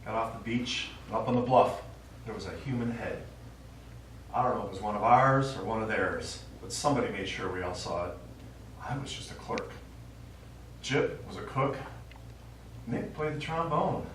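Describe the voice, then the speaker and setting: Man playing elderly forgetful war veteran, wistful rambling storyteller, lively swooping intonation, stage play, very reverberant distant recording. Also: on the low side, normal speed, long pauses. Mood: nostalgic. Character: nostalgic, forgetful elderly widowed father and Army veteran. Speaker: stage actor. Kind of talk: live one-act stage play acting. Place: small theatre stage. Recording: very echoey theatre, distant mic, faint noise.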